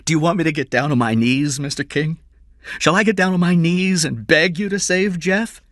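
A man's voice: fairly high pitch, moderate pace, a bit bright, dry recording, studio quality, pitched above the performer's own voice, natural voice. A man voicing a humble, defeated employee and father who pleads desperately in an audiobook. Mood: frantic begging. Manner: pleading, begging. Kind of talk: audiobook character acting.

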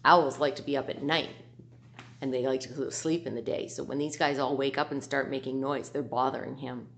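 A middle-aged woman reading aloud to children in an expressive storytelling manner at home in a living room. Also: extremely low pitch. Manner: slow, expressive, animated.

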